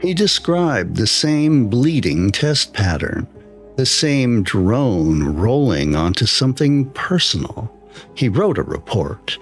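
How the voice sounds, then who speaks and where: Casting: male narrator for horror fiction, unhurried and solemn, with lively pitch movement. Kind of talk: scripted first-person horror story narration. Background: background music.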